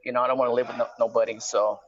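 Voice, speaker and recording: A man's voice: medium pitch, little pitch movement, very fast. A middle-aged man talking casually as a guest on a phone call, explaining things conversationally and often checking in with "right?". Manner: conversational, explanatory, peppers talk with "right?" and "you know". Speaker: guest calling from prison. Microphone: phone line. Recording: slightly dull, lo-fi phone call.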